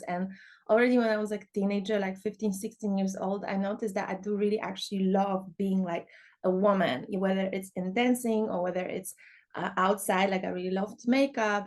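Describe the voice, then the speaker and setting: A young woman, talking as a guest on a podcast. She chats easily, at a middle pitch, and fills her talk with "you know" and "like". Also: a little mellow. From Poland. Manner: chatty, many fillers like "you know". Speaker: guest, dance teacher. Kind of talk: casual podcast interview.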